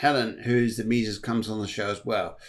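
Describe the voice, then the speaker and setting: A man's voice: on the low side, fairly even intonation, fairly quick. A man hosting a casual video-call chat, chatty and jokey, often repeating his words. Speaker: host and mediumship teacher.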